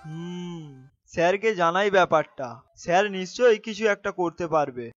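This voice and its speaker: A man's voice: sits high, moderate pace, lo-fi sound, faint music behind, natural voice. A man voicing a young, respectful, worried helper in a cartoon. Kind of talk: cartoon voice acting.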